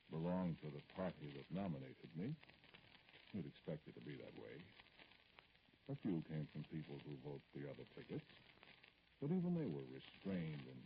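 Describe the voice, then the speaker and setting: An actor playing an aggrieved, dignified politician in an old radio drama, speaking formally and hesitantly in a gravelly voice. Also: male voice, full character voice. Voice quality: very deep, gravelly. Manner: hesitant, formal, pointed, with pauses. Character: aggrieved middle-aged male politician, dignified, brooding, addressing a caller. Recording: noisy, dull vintage radio recording.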